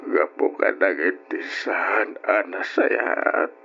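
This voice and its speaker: A man's voice: sits low, takes it slowly, gravelly, exaggerated voice. An actor playing a guilt-ridden, sorrowful father who pleads in an Ilocano radio drama. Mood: remorseful, guilty. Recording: dull, hissy old radio recording.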